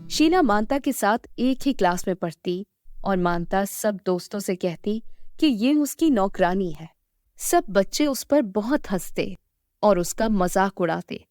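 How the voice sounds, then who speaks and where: A woman's voice: mid-range voice, expressive, a little slow, a little mellow, no echo, faint music behind. A woman reading a scripted children's story as the storyteller.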